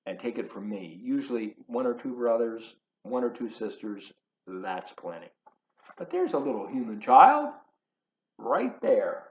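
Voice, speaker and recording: Male volunteer storyteller for children, mid-pitched, emphatic and teacherly, with chatty asides; roomy, slightly dull recording. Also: very animated, measured pace.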